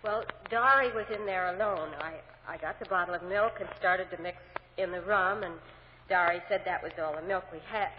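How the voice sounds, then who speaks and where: A woman's voice: lowish voice, normal speed, natural voice. An actress playing an aggrieved, self-pitying mother on the witness stand, plaintive and hesitant, in 1950s radio drama style. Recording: muffled, noisy old radio recording, reverberant.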